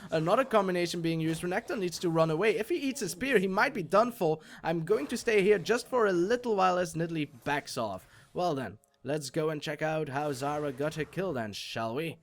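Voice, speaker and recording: A young man from Belgium, an English speaker, doing jokey solo commentary on a game replay and getting loud on exciting plays. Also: fairly high pitch, a little hiss or hum. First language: not English. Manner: jokey, self-mocking, rises sharply on exciting plays. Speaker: esports shoutcaster.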